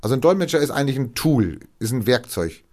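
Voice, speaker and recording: Man of medium pitch, casual explainer; animated, acts out bits of dialogue, restarts a lot. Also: quick pace.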